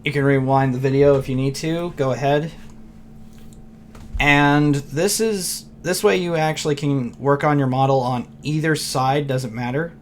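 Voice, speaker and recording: A man with a hoarse, worn voice walks through a screen tutorial in a loose, rambling way, often stopping to clear his throat. His pitch sits in a plain middle range. Faint keyboard typing ticks along behind him. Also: fairly even intonation, a little slow, slight background noise. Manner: rambling, loose, thinks aloud with fillers. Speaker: software tutorial teacher. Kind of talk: casual screen-recorded tutorial.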